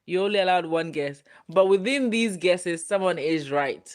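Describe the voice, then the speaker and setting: A woman's voice: fairly low pitch, expressive, normal speed. A woman in her twenties hosting a casual livestream, reading viewer comments aloud in a playful, teasing way and laughing often. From Ghana. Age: 20s. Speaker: livestream host.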